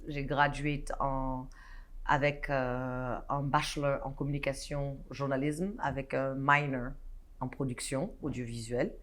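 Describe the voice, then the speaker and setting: A woman in her thirties from Guinea, a guest in a studio interview, talking animatedly with frequent restarts and hesitations. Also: rumbling low. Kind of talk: casual interview talk. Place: studio set.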